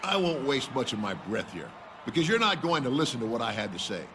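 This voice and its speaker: An aging wrestling legend, voiced by an actor, talks down to a younger man. His delivery is deliberate, his pitch moving freely. Clear background noise runs behind him. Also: sing-song, lightly acted. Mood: condescending. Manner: condescending, slow and deliberate. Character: veteran male wrestling legend, condescending and knowing.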